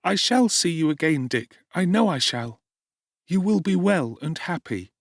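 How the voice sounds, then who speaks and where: A timid but resolute orphan boy speaks in hushed, earnest bursts, as a male narrator puts him on. The voice is lifted well above a grown man's, with an urgent edge. The audiobook recording is dry and close. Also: a little high, animated, measured pace, gravelly, dry recording, pitched above the performer's own voice, full character voice. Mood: reassuring.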